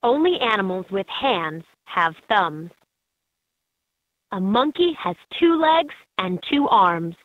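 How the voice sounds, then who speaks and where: A woman's voice: medium pitch, big pitch swings, slow, no room sound. A woman reading scripted narration as the guide in a children's learning game, recorded as lo-fi toy audio. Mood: instructive.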